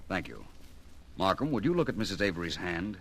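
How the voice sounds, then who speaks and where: An actor playing a calm, courteous, shrewd detective in an old-time radio drama, polished and formal. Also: male voice, low-pitched, fairly quick, breathy edge, exaggerated voice. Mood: courteous, probing. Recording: noisy vintage radio show recording.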